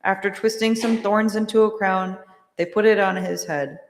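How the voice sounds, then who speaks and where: A woman reads solemn scripture narration in a measured, formal way. Her voice has a noticeable creak, and it carries with echo and long reverb through a large church. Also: medium pitch, steady tone, slight background noise. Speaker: narrator of Passion reading. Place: large church, live reading.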